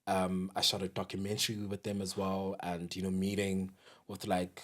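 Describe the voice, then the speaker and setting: Deep-voiced young South African man. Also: completely flat delivery, normal speed. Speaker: guest DJ and music producer. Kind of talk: casual podcast interview.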